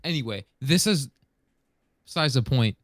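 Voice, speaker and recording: A man's voice: mid-range voice, expressive, moderate pace, no room sound, studio quality. A man hosting a casual podcast discussion, speaking in an informal, rambling way. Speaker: podcast host.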